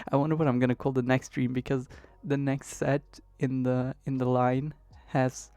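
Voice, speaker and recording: A young man streaming from his bedroom at home, chatting casually and rambling a bit, his voice still hoarse from being sick. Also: medium pitch, little pitch movement, measured pace, mellow, no echo. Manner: rambling, chatty, laughs easily. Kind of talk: casual live-stream chat.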